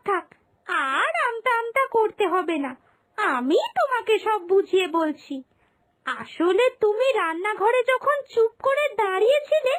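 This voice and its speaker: A woman voicing a feisty, scheming younger daughter-in-law in a children's cartoon, taunting and expressive. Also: extremely high pitch, dry recording, exaggerated voice. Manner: taunting, sharp-tongued, expressive. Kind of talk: Bengali moral-story cartoon dubbing.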